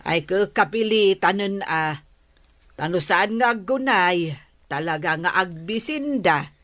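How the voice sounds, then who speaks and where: A woman's voice: fairly low pitch, expressive, unhurried, a bit bright, dry recording. A woman acting a caring middle-aged mother in an Ilocano radio drama, with an Ilocano accent. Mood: concerned.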